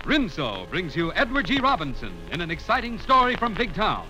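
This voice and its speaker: A man's voice: sits high, wildly swooping pitch, brisk, pushed and bright, faint music behind, low-quality recording. A man announcing in an emphatic, promotional 1940s radio style.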